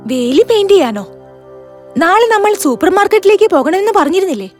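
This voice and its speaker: Woman playing a very high-pitched, sharp-tongued cartoon wife. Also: big pitch swings, fairly quick, soft background music. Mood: nagging, annoyed. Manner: complaining, emphatic. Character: quarrelsome, sharp-tongued young wife, easily annoyed.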